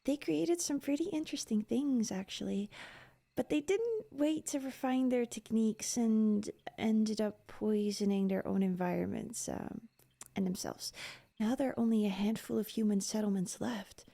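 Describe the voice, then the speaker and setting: A woman playing a curious, playful, scholarly young elf in an ASMR roleplay, with a soft breathy voice and a coaxing, teasing manner. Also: a little high, moderate pace. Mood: explaining.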